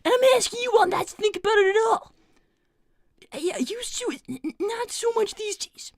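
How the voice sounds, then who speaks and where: A woman's voice: very high-pitched, lively intonation, a little fast, dry recording, studio quality. A woman voicing a nervous, earnest young male fox who stammers and rambles while streaming live. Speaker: livestream character performer. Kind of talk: live roleplay stream with chat.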